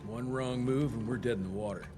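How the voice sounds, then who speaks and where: A man's voice: medium pitch, a little fast, noisy background, natural voice. An actor playing a blunt, practical male vampire-hunter partner who talks plainly, with hesitations. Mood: worried. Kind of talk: video game cutscene acting. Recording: game audio with music behind.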